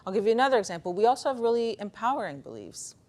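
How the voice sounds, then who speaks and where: A woman speaking casually in a motivational talk, telling personal stories in an animated, conversational way. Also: a little high, very fast. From Pakistan, of Afghan heritage. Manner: conversational, animated storytelling, acting out bits of dialogue. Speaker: speaker giving a conference talk on beliefs and performance.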